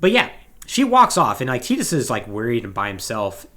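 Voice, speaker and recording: A man's voice: mid-range voice, a little fast. A man hosting a podcast, giving a casual, conversational recap of a story. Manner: animated, conversational, acting out paraphrased dialogue. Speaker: podcast host.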